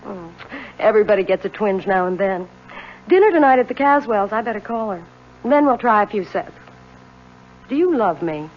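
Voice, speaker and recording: A woman's voice: medium pitch, animated, moderate pace, natural voice. An actress playing a playful, affectionate, watchful wife in a 1970s radio drama, teasing and probing as she talks. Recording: dull, slightly noisy 1970s radio recording.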